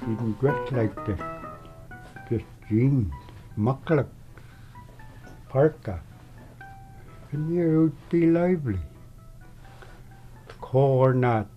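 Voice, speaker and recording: An older man from Wales, Alaska, recalling his childhood in a halting, plain-spoken way. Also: medium pitch. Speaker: elder interviewee. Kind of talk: oral history recollection. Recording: slightly dull recording with faint music behind.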